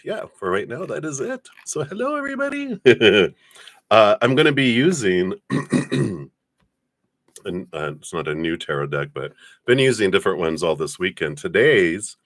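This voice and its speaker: Male livestream host, very low voice, congested sinuses, dry close sound, chatty and rambling. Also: a bit bright, dry recording. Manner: friendly, rambling, frequent fillers and throat clearing. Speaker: tarot reader hosting a livestream. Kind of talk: casual livestream chat.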